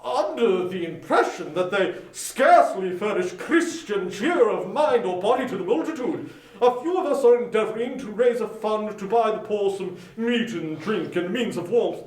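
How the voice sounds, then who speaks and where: A man playing a portly, earnest charity gentleman with formal, courteous manners in a dramatic audiobook, recorded in a roomy space. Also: high-pitched, animated, normal speed. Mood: earnest, polite. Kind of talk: dramatic audiobook reading of a Victorian story. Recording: roomy, distant from the microphone.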